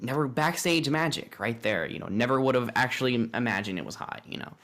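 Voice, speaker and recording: A man's voice: mid-range voice, lively intonation, fast, fairly bright tone. A man hosting a casual, joking chat with a sarcastic streak and some swearing, on an amateur recording. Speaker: host. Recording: amateur recording with bad audio.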